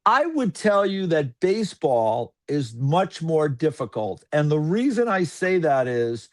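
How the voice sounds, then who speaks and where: Guy telling broadcast stories on a podcast, bit high-pitched, rambles, full of "like". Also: a little slow. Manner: rambling, filler-heavy, animated storytelling. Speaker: hockey broadcaster guest. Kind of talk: casual podcast chat.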